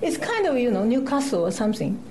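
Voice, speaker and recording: A woman from Japan, a poet speaking English with a Japanese accent and Japanese as her first language. She talks haltingly with many fillers and laughs, then reads her poems aloud at a live festival reading. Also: a little high, sing-song, over a noisy crowd. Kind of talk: live poetry reading with chatty introduction. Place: festival stage before an audience.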